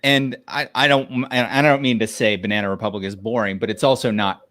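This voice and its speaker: A man co-hosting a casual conversation, speaking informally in a halting, self-deprecating way. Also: fairly low pitch, steady tone, fairly bright tone. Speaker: co-host. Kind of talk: casual chat.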